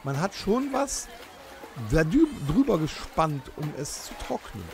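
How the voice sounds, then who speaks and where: A man's voice: fairly high pitch, sing-song, measured pace, gravelly, soft background music. A man hosting a podcast guessing game among friends, teasing the others and giving hints between laughs. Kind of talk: casual chat among friends.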